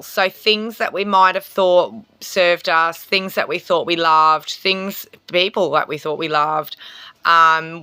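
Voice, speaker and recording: A chatty Australian woman talks through an astrology reading in a bright, forceful voice that sits a little low. The sound is dry and close. She rambles along with ums and small laughs. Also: smooth, no room sound. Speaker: spiritual healer and tarot reader. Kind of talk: casual astrology talk to camera.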